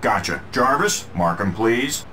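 An actor playing a cocky, determined armored hero in a video game, casual and wry. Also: male voice, fairly low pitch, lively intonation, a little slow. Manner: wry, casual, self-assured. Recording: loud game music and engine noise.

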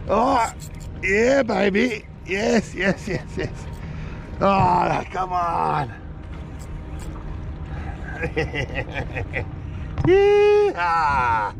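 A man's voice: high voice, creaky. A man in his thirties fishing from a kayak on the open sea, giving casual commentary into an action camera and whooping as the fish run. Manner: excited whoops and cheering while fighting fish. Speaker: kayak angler. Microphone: action camera on the boat. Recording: outdoor camera with wind and water noise.

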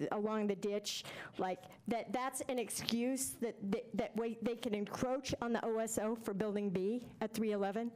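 A woman's voice: a little high, darkish tone. Blunt, exasperated woman at a podium mic. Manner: blunt, exasperated, gesturing as she talks. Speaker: public commenter. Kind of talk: public comment at a city meeting. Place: council chamber. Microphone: podium microphone.

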